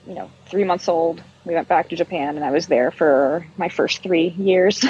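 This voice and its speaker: A woman's voice: fairly low pitch, normal speed, darkish tone, husky, lo-fi sound. A middle-aged woman from Brazil being interviewed, telling personal stories in a candid, self-deprecating, conversational way. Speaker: interview guest, yarn shop owner.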